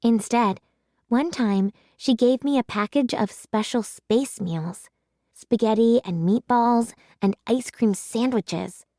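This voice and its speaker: Expressive woman reading a children's story, dry sound. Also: a little high, slow, no echo. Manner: animated, emphatic, clearly paced for children. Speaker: read-aloud storyteller. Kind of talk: expressive children's audiobook narration.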